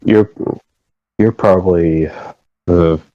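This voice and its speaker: A man from the United States, a guest on a casual phone call, talking in a hesitant, halting way. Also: deep voice, steady tone, dry recording.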